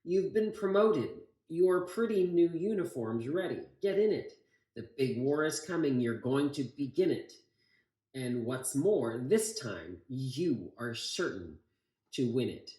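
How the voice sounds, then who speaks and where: A man's voice: mid-range voice, very animated, takes it slowly, warm tone, reverberant, poor audio. A man voicing a confident, fatherly military chief in a storybook, with a breezy, encouraging manner. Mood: proud, rousing. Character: confident, jovial old-fashioned military chief, fatherly and eager.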